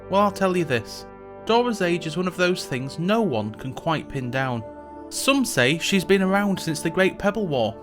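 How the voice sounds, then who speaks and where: A man's voice: fairly high pitch, a bit bright. A man playing a reluctant, sardonic male mage who hosts a tourism show, delivering scripted comic narration with dry, sarcastic asides. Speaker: podcast host.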